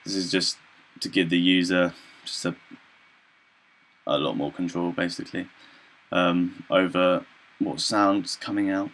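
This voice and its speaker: A young man talks through an informal software demo in a low, gravelly voice. He is hesitant, often filling gaps with ums and clearing his throat as he works out what to say next. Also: steady tone, unhurried. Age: young adult. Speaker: student presenter.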